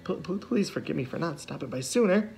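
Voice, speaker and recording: A man's voice: on the high side, expressive, brisk, gravelly, a little mellow, husky, voice pushed up, full character voice. A man voicing a kindly, slightly flustered woman judge in a storybook reading. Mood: eager. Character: kindly middle-aged woman judge, warm and gentle.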